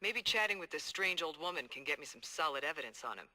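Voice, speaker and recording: An actor playing a determined, hard-boiled police detective dictating earnest, streetwise case logs in a video game. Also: male voice, a little high, lively intonation, fast, sharp tone. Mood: uneasy, skeptical. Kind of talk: video game audio log monologue.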